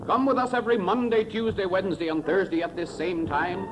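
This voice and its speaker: A man announcing and pitching in emphatic, declamatory scripted style, on a hissy 1930s radio recording. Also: steady tone, fairly quick, music in the background.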